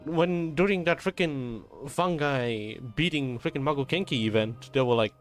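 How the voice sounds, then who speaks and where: A man's voice: medium pitch, moderate pace, soft background music. Young man casually streaming a game. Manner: slangy, sweary, stammering. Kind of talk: casual joking live reaction.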